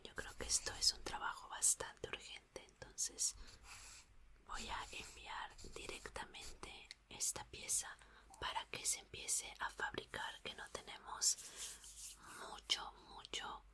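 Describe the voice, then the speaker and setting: Woman, ASMR roleplay, gentle reassuring scientist, bright breathy whisper, very slow pacing, soothing repetition. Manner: soothing, slow, repeats words softly. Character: gentle, reassuring female scientist repairing an android.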